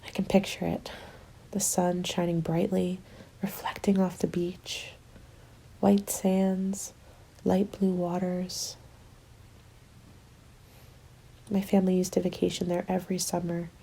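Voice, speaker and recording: A woman plays a weary female survivor holed up through a zombie winter. Her voice is lowish and very husky, with a creaky edge. She confides softly and intimately, in the manner of an ASMR roleplay. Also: little pitch movement, measured pace, full character voice, poor audio. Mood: wistful. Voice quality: soft, breathy, husky. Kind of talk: soft-spoken ASMR roleplay monologue.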